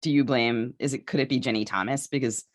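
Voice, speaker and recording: A woman's voice: rumbling low, fairly even intonation, quick pace. An American woman co-hosting a podcast with casual, opinionated political talk and a wry, playfully sarcastic manner. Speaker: podcast host.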